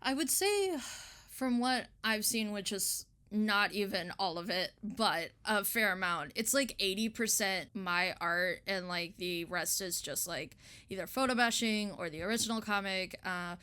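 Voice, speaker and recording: A woman with a bright, crisp-edged voice at an average pitch. She talks informally as an artist in an interview, in an agreeable, affirming way. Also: a little slow. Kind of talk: casual chat.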